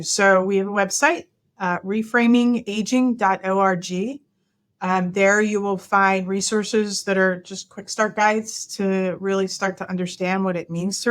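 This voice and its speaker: A woman in her fifties answering interview questions over a video call from an office, thoughtful and earnest, restarting her sentences often with many ums. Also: medium pitch. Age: 50s. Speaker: guest. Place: office with a large window.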